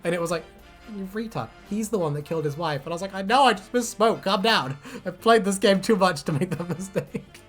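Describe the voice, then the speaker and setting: A woman's voice: fairly low pitch, fairly quick, faint music behind. A woman chatting casually and jokily as a co-host, swearing freely and prone to giggling. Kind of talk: casual banter, reacting to fan comments.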